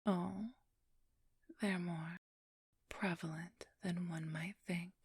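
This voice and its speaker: A woman playing a cunning, haughty supernatural thief who poses as a scholar, speaking in a soft, precise, formal whisper for an ASMR roleplay. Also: on the low side, steady tone, takes it slowly, husky, no echo, studio quality, heavily acted. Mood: scholarly, assured.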